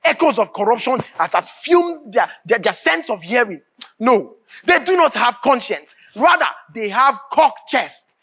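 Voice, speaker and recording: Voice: medium pitch, big pitch swings, a little slow, a bit bright, husky, exaggerated voice. An actor with a Nigerian accent playing an anguished, bitter writer in a live stage drama, declaiming emphatically and repeating phrases. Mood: bitter, grieving. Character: anguished, bitter writer raging at a corrupt nation.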